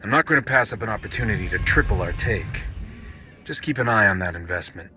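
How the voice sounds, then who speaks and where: An actor playing a gruff, sceptical mercenary with a blunt, dry manner in a video game cutscene. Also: male voice, fairly low pitch, animated, fast talker, music in the background, lightly acted.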